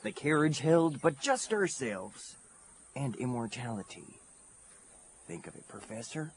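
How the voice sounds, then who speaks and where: Wildly swooping folksy carrion creature. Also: male voice, medium pitch, very animated, a little slow, slight background noise. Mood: mock soothing. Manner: folksy drawling teasing, ungrammatical. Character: folksy, macabre carrion-eating desert creature, slyly menacing. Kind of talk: audio drama acting.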